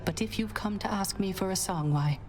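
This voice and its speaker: A man playing a gentle, melancholy court musician in a video game, speaking formally and courteously. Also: high voice, fairly quick. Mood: mournful, formal.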